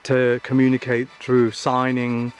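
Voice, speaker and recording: A man's voice: medium pitch, monotone, unhurried. A man talking casually in an interview as part of a creative team.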